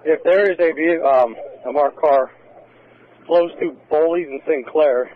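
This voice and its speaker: A man's voice: fairly high pitch, measured pace, narrow, tinny audio. A male police officer talking over a police radio. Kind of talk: radio dispatch traffic.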